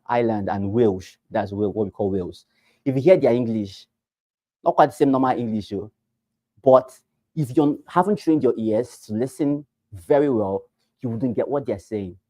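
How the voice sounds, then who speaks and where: A man with a Nigerian accent leads a classroom session for realtors and drives each point home by repeating it. He swings from loud bursts to quiet asides and keeps asking rhetorical questions. His voice sits at a middling pitch and is recorded dry, with no room echo. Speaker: real estate trainer. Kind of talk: classroom training talk.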